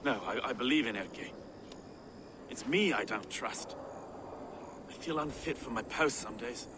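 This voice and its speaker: An actor playing a devout, self-doubting reeve in a game, with an earnest, confiding manner. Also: male voice, mid-range voice, expressive, unhurried, noisy background, lightly acted. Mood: troubled, confiding.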